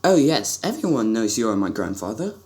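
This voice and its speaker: A man's voice: on the low side, very animated, fairly quick, a little mellow. A man playing an earnest, curious young prince in an amateur radio drama, with a formal and stiffly polite way of speaking. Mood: grateful, sincere.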